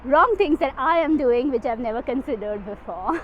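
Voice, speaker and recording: A woman's voice: sits high, lively intonation, normal speed. A woman with an Indian accent giving reflective, slightly hesitant answers in an interview. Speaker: psychotherapist, conference participant. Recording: muffled sound with clear background noise.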